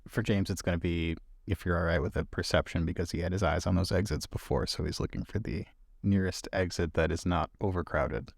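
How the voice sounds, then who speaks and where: Deep-voiced male tabletop player. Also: fairly even intonation, fairly quick, gravelly, no room sound, clean studio sound. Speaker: player in a tabletop roleplaying game. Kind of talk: casual game talk.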